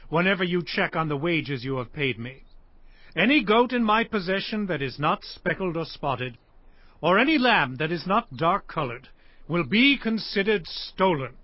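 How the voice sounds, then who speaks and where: An earnest, hardworking shepherd from a Bible drama. His voice sits fairly high and moves in lively swings as he presses his case with emphasis. It is recorded dry and slightly dull. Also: no room sound. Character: Jacob, earnest hardworking biblical shepherd and husband. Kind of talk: dramatized audio Bible acting.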